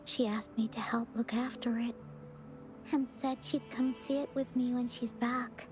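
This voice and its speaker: A woman voicing a sad, gentle little girl in a video game, wistful and soft, speaking hesitantly. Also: a little high, flat delivery, measured pace, over music, lightly acted.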